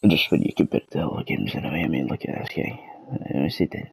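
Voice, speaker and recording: A Scottish man with a cold and a hoarse throat, rambling and swearing in a self-deprecating way through a casual gaming vlog. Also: very deep voice, fast talker, dry recording, rough recording. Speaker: gaming channel host.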